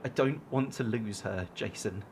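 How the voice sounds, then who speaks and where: A man's voice: on the low side, normal speed, darkish tone, slight background noise, close to an everyday voice. An actor playing an anxious, lovesick man in a radio drama, hesitant and pleading, stumbling over his words. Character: anxious lovesick man clinging to an abusive girlfriend.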